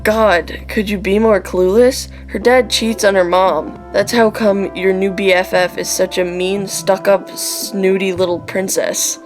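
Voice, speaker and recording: A woman's voice: mid-range voice, steady tone, unhurried, few pauses, lightly acted. A woman playing a scornful, sarcastic teenage older sister in a radio drama. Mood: snide, scornful. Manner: snide, sarcastic. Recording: music under the voice.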